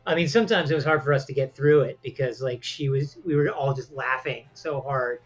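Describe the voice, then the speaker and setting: A man's voice: medium pitch, fairly quick, faint music behind. Man, voice actor, amused anecdote, lo-fi. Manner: amused, animated. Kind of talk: interview anecdote. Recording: dull lo-fi audio.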